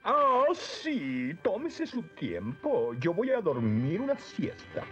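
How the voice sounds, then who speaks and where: A slow, lazy tiger, Latin American. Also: female voice, lowish voice, huge pitch swings, a bit bright, dull audio, soft background music, full character voice. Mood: sleepy, lazy. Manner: drawling, drowsy. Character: lazy, sleepy, timid male talking tiger. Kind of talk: cartoon dub acting.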